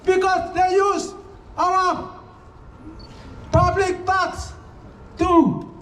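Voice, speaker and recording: Shouting high-voiced man from West Papua. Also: little pitch movement, extremely slow. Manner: shouting, impassioned. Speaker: protest speaker. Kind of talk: rally speech. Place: outdoor street protest. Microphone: handheld microphone on speakers. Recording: echoey and distant with faint noise.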